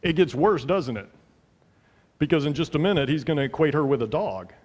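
Earnest pastor, slightly high, reverberant hall. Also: male voice, animated, brisk, a little mellow. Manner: earnest, emphatic, repeats phrases for effect. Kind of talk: sermon. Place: large church sanctuary, at a pulpit. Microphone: headset mic.

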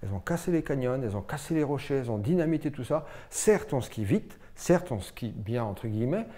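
A man's voice: medium pitch, very animated, fairly quick, dark tone. An older man explaining things in an interview, conversational and emphatic, making his case point by point. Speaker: mountain association president. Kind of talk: documentary interview, explaining informally. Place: indoors, small office with windows.

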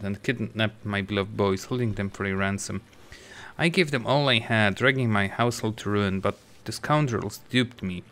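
Sorrowful, bitter mother of lost sons, read flatly by a man with a low voice; game dialogue read aloud. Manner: reading aloud plainly. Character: grieving bitter middle-aged mother.

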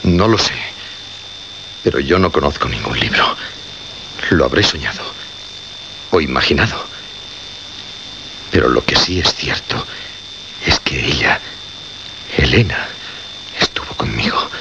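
A haunted, agitated man in a Spanish suspense radio play. His Castilian voice is deep and halting, with lively swings. The recording is dull, with clear noise. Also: full character voice. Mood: bewildered. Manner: halting, brooding, stammering. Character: haunted, bewildered male radio host, agitated.

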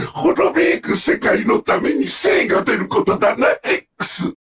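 An actor playing a sadistic, mocking combat-robot villain in a Japanese video game, with sneering, theatrical, drawn-out taunts. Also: male voice, very high-pitched, vocal fry, far from the mic, noisy background, heavily acted.

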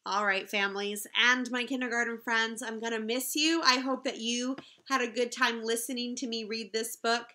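A woman's voice: fairly high pitch, fairly even intonation, moderate pace, few pauses, pushed and bright. A woman in her thirties, a teacher casually addressing young pupils and their families from a room at home. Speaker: kindergarten teacher. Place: small room at home, indoors.

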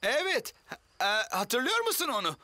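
A man's voice: extremely high pitch, huge pitch swings, moderate pace, noisy background, full character voice. A man voicing a modest, friendly fisherman in a children's cartoon dub, good-natured in his talk. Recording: loud music behind the voice.

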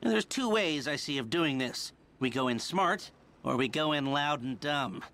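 An actor voicing a nerdy, methodical heist planner in a video game, soft-spoken and dry with hesitant fillers. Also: male voice, fairly high pitch, lively intonation, measured pace. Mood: planning.

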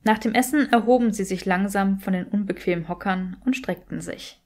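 A woman's voice: medium pitch, moderate pace, a little mellow. A woman reading scripted fiction as an audiobook narrator.

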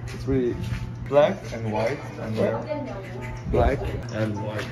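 A man's voice: medium pitch, extremely slow, over loud music. A young man chatting and teasing his friend in an indoor animal cafe, mixing simple Korean with English and laughing often. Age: young adult. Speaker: vlogger's friend. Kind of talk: casual chat.

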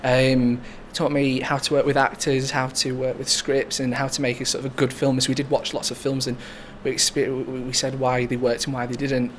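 Young man, very even delivery. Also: medium pitch. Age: 20s. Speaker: graduate writer and animator. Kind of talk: casual interview. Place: large screening hall, indoors. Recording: faint room noise.

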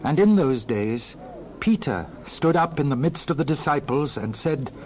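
A man's voice: fairly high pitch, animated, measured pace, darkish tone, low-quality recording. Solemn male narrator, some background noise. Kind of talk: scripted narration, dramatized Bible reading.

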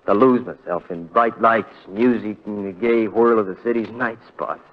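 An actor playing a haunted, heartbroken young man, telling his story with halting, conversational pauses in 1940s radio drama style. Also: fairly low pitch, fairly even intonation, natural voice. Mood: wistful, rueful. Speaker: narrator character. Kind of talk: 1940s radio drama first-person narration. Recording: muffled vintage radio broadcast with music.

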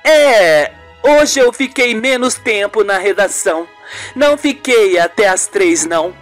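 A woman's voice: mid-range voice, lively intonation, a little slow, soft background music, natural voice. A woman voicing an anguished, tearful aunt with startled exclamations in a radio soap. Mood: affectionate, serious. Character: anguished, tearful aunt hiding a family secret. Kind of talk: amateur radio soap acting.

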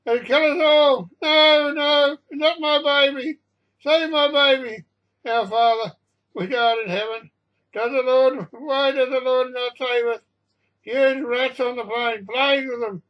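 A man's voice: very high-pitched, fairly even intonation, unhurried, muffled recording, voice pushed up. A man voicing a hysterical, terrified airline passenger in a story, shrieking and wailing melodramatically. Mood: doomed wailing.

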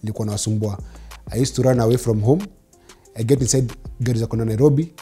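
A Kenyan man in his thirties, telling his childhood story in an interview, mixing in Swahili, animated. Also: medium pitch, fast, soft background music. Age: 30s. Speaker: interview guest. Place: living room studio set, seated in armchair.

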